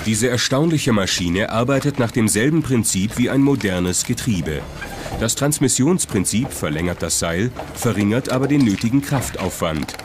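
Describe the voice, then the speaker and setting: A man's voice: fairly low pitch, expressive, normal speed, background noise. A man reading scripted documentary narration. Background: music under the voice.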